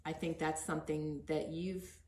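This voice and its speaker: Low, even-voiced woman in an echoey room. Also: moderate pace. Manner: earnest, encouraging. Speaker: podcast host interviewing. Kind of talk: conversational interview.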